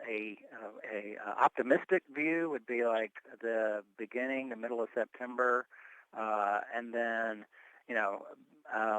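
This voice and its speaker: A man's voice: on the low side, creaky, fairly bright tone, smooth, dry recording, low-quality recording. A man giving an informal, step-by-step report in a conversational way, speaking on a telephone conference call. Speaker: board member reporting on tax filing. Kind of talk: informal spoken report at a meeting.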